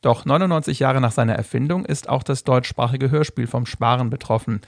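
Dry, creaky male radio narrator. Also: mid-range voice, dry recording. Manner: measured, factual. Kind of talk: scripted documentary narration.

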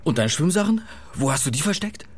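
A man's voice: fairly high pitch, big pitch swings, brisk, slightly rough. A man playing a worried, flustered father in a radio play, hesitant and stammering as he talks. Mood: flustered, uneasy. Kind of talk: naturalistic radio play acting.

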